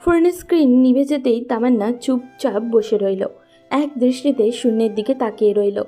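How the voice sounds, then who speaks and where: A woman reads scripted romantic fiction as narrator. Her voice sits a little high and sounds soft and mellow, with faint music behind it. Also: moderate pace. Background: faint background music.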